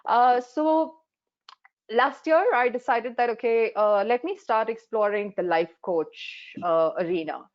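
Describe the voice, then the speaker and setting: A woman's voice: on the high side, unhurried, highs cut off. A woman in her forties from India, whose first language is Hindi, telling her career story frankly, with many fillers, on a webinar panel. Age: 40s. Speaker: life coach. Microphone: video call.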